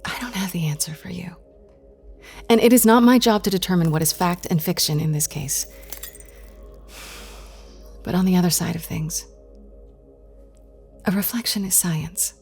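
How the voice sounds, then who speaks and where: A woman's voice: on the low side, moderate pace, pauses often, darkish tone, slightly rough, faint music behind. A woman playing an earnest, strong-willed therapist in an audio drama, speaking articulately and expressively. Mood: calm, reassuring.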